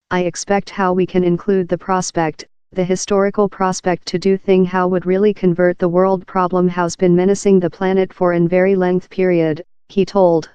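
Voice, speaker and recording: Woman, news narrator, scripted delivery, mellow tone, dry close sound, stiff mechanical phrasing. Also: fairly low pitch, clean tone, dry recording.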